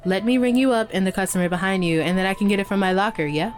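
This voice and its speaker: A woman's voice: mid-range voice, rapid-fire, natural voice. A woman playing a friendly, easygoing cafe worker in a fiction podcast, with a casual, chatty manner. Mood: friendly, helpful. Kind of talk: naturalistic fiction podcast acting.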